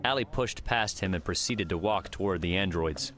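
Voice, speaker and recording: Deep-voiced man narrating an audiobook. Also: normal speed. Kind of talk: scripted narration.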